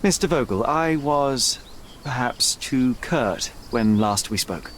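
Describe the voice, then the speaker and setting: An actor playing a cool, self-assured young detective in a video game, speaking in a clipped, formal, composed way. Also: male voice, medium pitch, animated, slow, fairly bright tone, a little hiss or hum. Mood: polite, guarded. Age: young adult. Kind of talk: video game cutscene acting.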